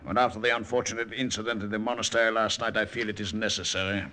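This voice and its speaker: An actor playing a coldly polite, officious SS captain in a 1940s radio drama. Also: male voice, on the low side, little pitch movement, quick pace, bright, forceful tone. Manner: formal, clipped, officious.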